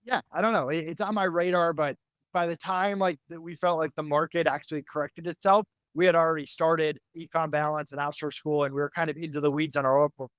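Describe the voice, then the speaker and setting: Candid entrepreneur man, higher voice. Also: steady tone, fairly bright tone, clean tone, dry recording. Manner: quick, candid, filler-heavy. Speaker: entrepreneur guest. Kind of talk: conversational interview.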